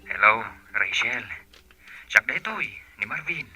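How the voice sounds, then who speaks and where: A man with an Ilocano accent playing a polite, smooth-talking former boyfriend in a radio drama. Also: medium pitch, big pitch swings, slow pace, pushed and bright, faint music behind, heavily acted. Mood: courteous.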